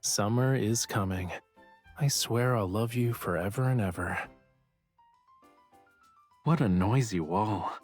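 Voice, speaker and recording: A man's voice: on the low side, slow, long pauses. A playful young art professor in a romance game. He teases his partner in an understated, aloof way, with music playing behind his voice. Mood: musing.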